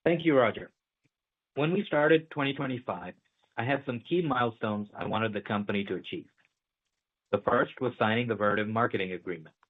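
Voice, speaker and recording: A man's voice: medium pitch, brisk, slightly rough. Man on a thin phone line, executive remarks. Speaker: company chief executive. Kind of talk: earnings call presentation, prepared remarks. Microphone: phone or conference line.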